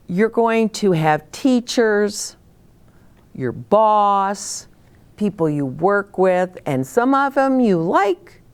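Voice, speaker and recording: A woman's voice: mid-range voice, vocal fry. An older woman hosting a children's story time, speaking simply and expressively to young children as she shares stories and advice. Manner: simple, expressive, addressing children directly, with dramatic pauses.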